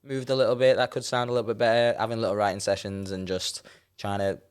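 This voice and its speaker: A young man with a Scouse accent, a rapper and producer chatting casually as an interview guest, relaxed and quick to laugh. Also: on the low side, smooth. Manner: relaxed, laughs often, trails off into fillers.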